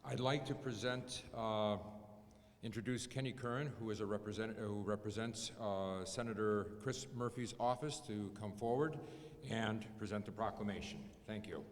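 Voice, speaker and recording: A man emceeing a ceremony and introducing speakers in a relaxed way. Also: lowish voice, moderate pace. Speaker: event emcee. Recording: hall reverb, faint noise.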